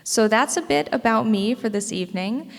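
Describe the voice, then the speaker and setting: A teenage American woman, a high school student, giving a prepared public speech. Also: moderate pace. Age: teens to young adult, high school student. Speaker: parade court student speaker. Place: indoor school meeting room. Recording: echoey hall with long reverb.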